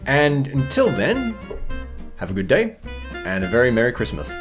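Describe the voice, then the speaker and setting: Man, middle-aged host, animated pitch swings, wry theatrical phrasing, light music underneath. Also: moderate pace. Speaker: host presenting viewer submissions. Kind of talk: playful scripted narration of a showcase video.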